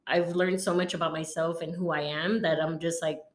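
A woman in her thirties, a guest in a casual chat, talking in a relaxed, rambling way full of fillers. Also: sits low, a little fast. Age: 30s. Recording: roomy echo.

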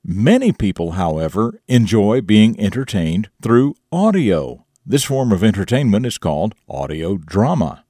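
A man hosting morning radio and narrating stories in an expressive, folksy, emphatic manner. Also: on the low side, unhurried, hardly any pauses, dry recording, studio quality. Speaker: radio show host and storyteller. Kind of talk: morning radio talk and dramatic story narration.

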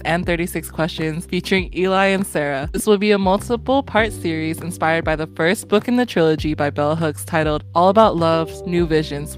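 A woman in her twenties hosting a casual podcast, talking in an earnest, friendly way with frequent fillers and laughs. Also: on the low side. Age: 20s. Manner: warm, earnest, often laughing, many fillers. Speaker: podcast host.

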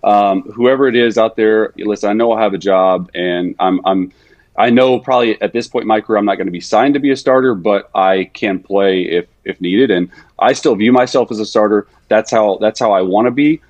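A man in his thirties speaks in a lowish, easygoing voice, filling his answers with casual fillers and restarts. The sound is dry and close, with no room around it. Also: brisk, dry recording, highs cut off. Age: 30s. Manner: relaxed, conversational, frequent fillers and restarts. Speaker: guest, NFL backup quarterback. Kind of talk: casual podcast interview.

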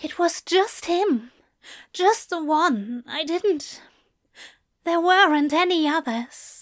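A woman's voice: very high voice, animated, takes it slowly, pitched above the performer's own voice, heavily acted. A woman voicing an adoring male angel in an audiobook drama, pleading and overwhelmed with emotion. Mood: shocked, faltering. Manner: moaning, gasping, emotional delivery.

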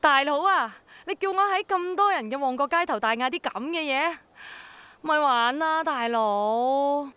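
An actress playing a spirited young woman in a radio drama, with a colloquial, expressive Hong Kong Cantonese delivery. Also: high voice, a little slow, dry recording, exaggerated voice. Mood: exasperated. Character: spirited young woman, hesitant but willing rain girl.

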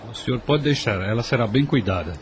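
A man with a Brazilian accent playing a protective, devout older father, calm and consoling, in a radio soap. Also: mid-range voice, a little fast, a little hiss or hum, lightly acted. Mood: concerned. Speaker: radio drama actor.

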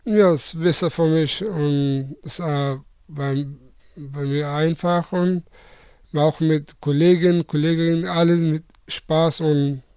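Man in his twenties from Afghanistan, interviewee; slow, halting, many restarts; slightly high voice. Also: steady tone, darkish tone, smooth, dry recording. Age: 20s.

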